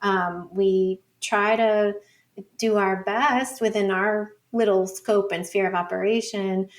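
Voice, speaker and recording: Informal, mid-pitched woman academic in conversation. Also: steady tone, slow. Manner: informal, frequent "like" and "you know". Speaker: academic researcher, podcast guest.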